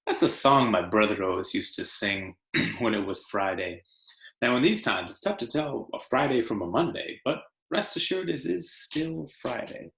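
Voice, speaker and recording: A man teacher reading aloud to children, with deliberate storybook phrasing and casual delivery. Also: medium pitch, expressive, vocal fry, fairly bright tone, reverberant.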